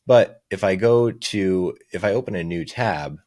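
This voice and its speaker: A man's voice: deep voice, a little slow, darkish tone, no room sound. A man narrating a casual screencast tutorial as the presenter, explaining things step by step. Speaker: software demo presenter.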